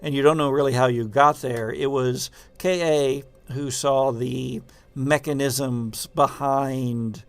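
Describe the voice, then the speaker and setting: A man improvising narration as game master of a tabletop game, telling it haltingly and dramatically with drawn-out pauses. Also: mid-range voice, steady tone, smooth. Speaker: game master of a tabletop role-playing show.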